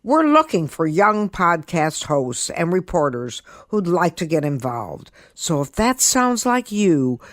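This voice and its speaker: A woman's voice: fairly low pitch, very animated, slow, few pauses, dry recording. A woman presents a podcast as its host, giving review commentary. She is emphatic and repeats words to stress them. The sound is dry, with little room around the voice.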